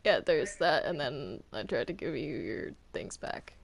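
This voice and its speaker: A person chatting casually while playing a video game with others, in a hesitant, halting way. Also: mid-range voice, big pitch swings, gravelly. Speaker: video game player in a group roleplay.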